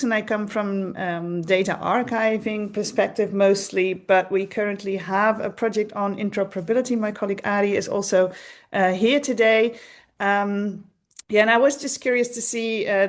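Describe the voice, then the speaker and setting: A woman from a university library introducing herself on a video call, hesitant, with many fillers. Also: medium pitch. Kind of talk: online meeting self-introduction.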